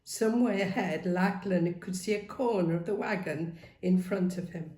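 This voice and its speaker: A woman reading a novel aloud as dramatic, expressive narration. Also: fairly low pitch, moderate pace. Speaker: story reader. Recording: roomy, echoing sound.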